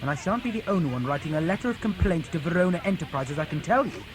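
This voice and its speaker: A man's voice: a little high, lively intonation, quick pace, over music, close to an everyday voice. A man playing a pompous, indignant passenger in an audio drama, huffy and formal in his complaints.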